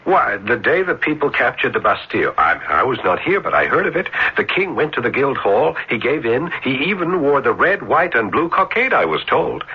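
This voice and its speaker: An actor playing an earnest, brooding young male student in an old radio drama, with formal, hesitant, excitable speech. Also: mid-range voice, normal speed, hardly any pauses, husky, muffled recording. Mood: eager, excited. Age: young adult.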